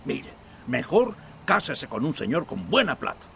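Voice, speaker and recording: A man playing a weary, resigned older father in a radio drama, speaking in a halting, dismissive way. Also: medium pitch, sing-song, gravelly, fairly bright tone, slight background noise, exaggerated voice.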